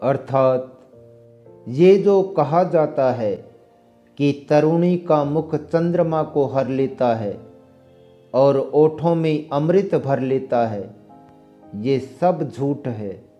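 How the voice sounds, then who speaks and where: A man giving a scripted, solemn and deliberate narration, as in a recital of verse and commentary. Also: on the high side, dark tone, lo-fi sound. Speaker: narrator reciting Sanskrit verses and explaining them. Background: instrumental music.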